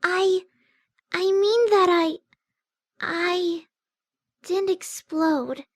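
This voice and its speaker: A woman voicing a timid, gentle female pegasus pony with a hesitant, mumbling manner, in a scripted story reading. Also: very high-pitched, steady tone, very slow, full character voice. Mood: nervous, mumbling.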